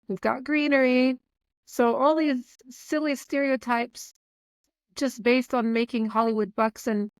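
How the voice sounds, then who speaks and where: Lively, higher-voiced woman in her fifties. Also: dry recording. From Saudi Arabia. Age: 50s. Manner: animated, candid, quick to laugh. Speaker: guest. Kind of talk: conversational interview.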